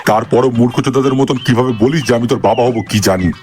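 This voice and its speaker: A man's voice: mid-range voice, very fast, darkish tone, natural voice. A man voicing an exasperated, foul-mouthed middle-aged brother-in-law in a comic cartoon, scolding and sarcastic.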